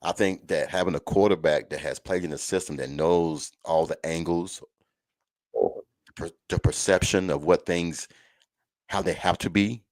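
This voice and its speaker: Man, deep voice, folksy football host, casual conversational delivery, dry sound. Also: steady tone, normal speed, a little mellow, dry recording. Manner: folksy, conversational, often addresses listeners as "man". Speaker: host of a college football show, coach. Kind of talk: casual live sports chat.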